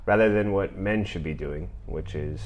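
Middle-aged male podcast host, very low deep voice, thoughtful pacing. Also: slight background noise. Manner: measured, thoughtful delivery with occasional fillers. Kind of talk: reading and commenting on an argument.

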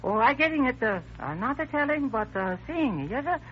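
An actor playing a talkative, deferential Japanese rooming-house landlord in hesitant broken English, in an old-time radio drama. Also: male voice, very high voice, sing-song, normal speed, breathy edge, exaggerated voice. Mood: eager to please. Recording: muffled, hissy old radio recording.

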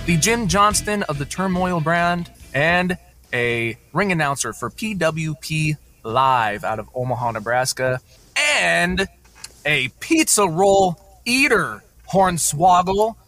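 Bright-voiced man hosting a podcast. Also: fairly high pitch, lively intonation, slow pace, faint music behind. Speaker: podcast co-host and ring announcer. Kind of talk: casual podcast chat.

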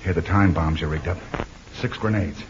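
An actor playing a brave, decisive leader of a wartime sabotage mission, terse and commanding, in an old-time radio adventure. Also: male voice, sits low, moderate pace, close to an everyday voice. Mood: tense, guarded. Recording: dull, slightly noisy old radio recording.

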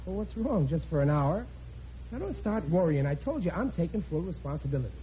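An actor playing an excitable, bumbling middle-aged father in an old radio comedy, blustering and muddled. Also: a little high, fairly quick. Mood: oblivious, breezy. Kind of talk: 1940s-50s radio situation comedy acting. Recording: muffled, noisy vintage radio recording.

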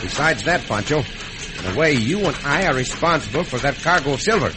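Man playing commanding Mexican adventurer, mid-pitched. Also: expressive, a little fast, over music, close to an everyday voice. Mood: firm, resolute. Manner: firm, formal, careful English. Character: brave, commanding Mexican adventurer hero in a western. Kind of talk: 1940s-1950s radio acting. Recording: noisy old radio drama recording.

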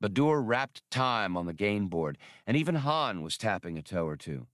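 A man's voice: fairly low pitch, very animated, normal speed, a bit bright, no echo, clean studio sound. A man reading scripted adventure fiction as an audiobook narrator. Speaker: audiobook reader.

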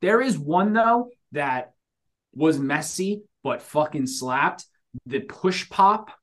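A man with a voice a little higher than average co-hosts a casual podcast. He talks in loose slang and tells stories in an animated, chatty way. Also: slow pace. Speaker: podcast co-host.

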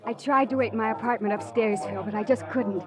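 A woman plays an anxious, earnest young client in a vintage radio mystery. Her voice is fairly high. Loud music runs behind her on the old recording. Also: steady tone, fast, natural voice. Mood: worried. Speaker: actress in a radio detective drama. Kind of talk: old-time radio acting.

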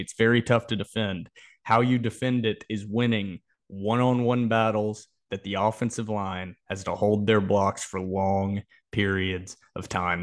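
A man hosting a sports podcast, talking through a football preview in an opinionated, emphatic way. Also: fairly low pitch. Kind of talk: football preview analysis, conversational.

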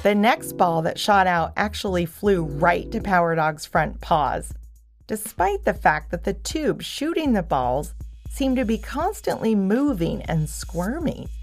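A woman narrates a children's story in a mid-range voice. There is noticeable creak in her tone. Faint music plays beneath the scripted telling. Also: mid-range voice, big pitch swings, unhurried.

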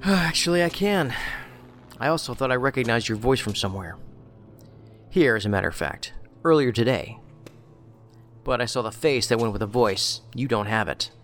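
An actor in an audio drama playing an inquisitive male federal agent with a measured, dry, probing delivery. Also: mid-range voice, lively intonation, fairly bright tone, natural voice. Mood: guarded, reflective. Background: music.

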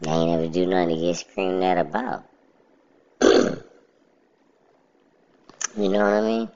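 Man with a very deep, gravelly voice, slow and steady monotone delivery; casual monologue, dull lo-fi sound. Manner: steady, plainspoken, self-described monotone. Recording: dull lo-fi recording.

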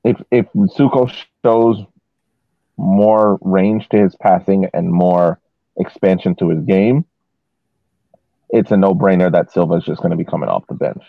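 Low-voiced man on a phone-like line. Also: measured pace, vocal fry, no echo. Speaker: sports podcast co-host. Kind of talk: casual sports analysis.

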